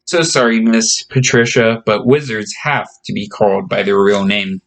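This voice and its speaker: A man's voice: on the low side, level tone, moderate pace, fairly bright tone. A man voicing a tiny, earnest young wizard boy from Japan with formal, courteous phrasing, in a storybook reading. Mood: grave.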